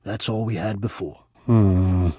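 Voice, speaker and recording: An actor playing a shy, formal and lovestruck male ventilation system in a dating game, speaking earnestly and haltingly in a breathy voice. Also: lowish voice, steady tone, warm tone, dry recording. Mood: formal, reserved. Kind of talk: dating game character voice acting.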